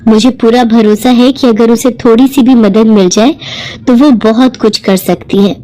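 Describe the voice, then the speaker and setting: A woman voicing a well-off, kindly and enthusiastic married lady, encouraging and expressive, in a cartoon moral story. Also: a little high, moderate pace, natural voice, low-quality recording. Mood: insistent.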